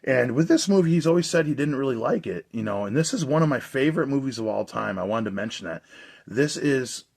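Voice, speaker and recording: A young man with a slightly hoarse voice, chatting casually and enthusiastically as he reviews a movie release for his video channel. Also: medium pitch, animated, a little fast, darkish tone.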